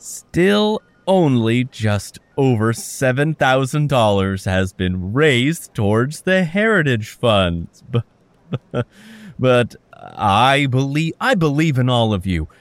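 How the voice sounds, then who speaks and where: An actor playing an upbeat radio telethon host who makes a chummy, chuckling pitch for donations. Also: male voice, sing-song, slow, no echo. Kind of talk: audio drama acting.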